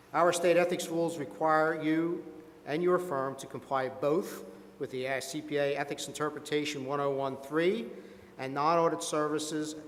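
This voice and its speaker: A man acting as a formal board examiner in a staged role-play, with a fairly high male voice. He questions an auditor in a measured, official way, and the hall rings around him. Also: close to an everyday voice. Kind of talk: scripted role-play dramatization. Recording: echoey hall with long reverb.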